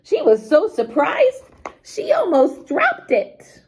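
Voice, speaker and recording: Unhurried, animated woman reading a bedtime story. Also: very high voice, rough recording. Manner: animated, unhurried, explains words simply to children. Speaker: storyteller reading a children's picture book aloud. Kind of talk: expressive bedtime story narration with asides to young listeners.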